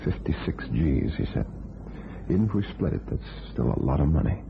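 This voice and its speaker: An actor playing Rusty Connors's type, a tough, scheming ex-convict with terse slangy talk, in a crime radio play. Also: male voice, very deep voice, sing-song, brisk, gravelly, noisy background, heavily acted. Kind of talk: old-time crime radio play acting. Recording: tinny band-limited old radio, music behind.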